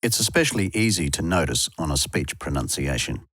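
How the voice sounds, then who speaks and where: A man's voice: rumbling low, lively intonation, a little fast, fairly bright tone, no room sound. A man presenting a tutorial in scripted instructional narration, recorded as a close, dry voice-over. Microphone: close dry voice-over microphone.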